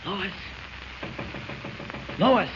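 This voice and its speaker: Noisy dull vintage radio, confident superhero, clipped commands, brisk, bold adventure-serial acting. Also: male voice, high voice, expressive, heavily acted. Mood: urgent.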